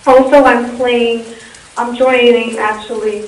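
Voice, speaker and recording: A woman's voice: a little high, little pitch movement, slow. A woman from Russia, a native Russian speaker, giving casual answers as an interview guest. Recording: echoey, distant, faint hiss.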